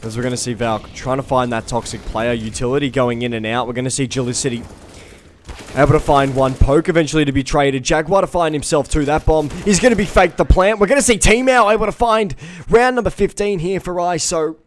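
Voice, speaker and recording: Excited male esports caster. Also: fairly high pitch, a little fast, slight background noise. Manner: builds to loud excitement on big plays. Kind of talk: live play-by-play commentary.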